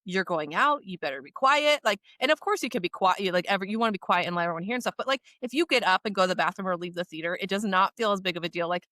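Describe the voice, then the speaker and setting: Woman, American accent, mid-range pitch, crisp bright tone, informal podcast chat, emphatic. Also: racing, hardly any pauses, no room sound. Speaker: podcast co-host. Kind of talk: casual chat.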